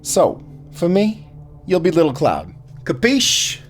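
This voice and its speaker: A man's voice: sits high, huge pitch swings, slow pace, soft background music, exaggerated voice. A man playing a cocky, teasing Grim Reaper in a fantasy audio roleplay, talking like a smug, slangy wise guy. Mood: playful, explaining.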